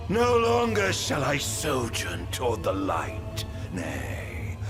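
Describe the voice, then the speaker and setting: An actor playing an anguished chosen king turned vengeful in a video game, grandiose and dramatic, veering from hushed to howling. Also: medium pitch, huge pitch swings, takes it slowly, over music. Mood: vengeful, dark.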